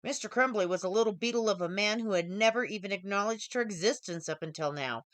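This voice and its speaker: A woman's voice: mid-range voice, fast talker, a bit bright, dry recording. A woman author reading her own short story as scripted audiobook narration.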